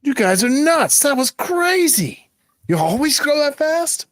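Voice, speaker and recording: A man's voice: very high-pitched, big pitch swings, measured pace, dry recording, clean studio sound, pitched above the performer's own voice, full character voice. A man voicing an impressed, chatty young punk-scene woman in casual slang, in a tabletop roleplay. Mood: excited.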